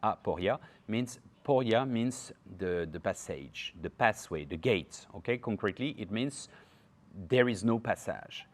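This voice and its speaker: Deep-voiced man teaching outdoors. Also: animated. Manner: halting, frequent fillers and tag "okay?", gestures constantly. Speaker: personal development teacher. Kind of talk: talk to camera, teaching. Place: outdoor garden by a pool. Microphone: lapel mic.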